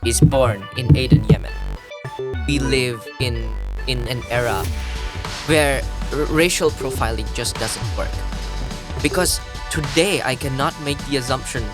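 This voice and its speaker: A man's voice: mid-range voice, a little slow, music in the background. A man from Tanzania, a podcast guest, explaining at length in a thoughtful way with frequent restarts. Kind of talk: explanatory conversational interview.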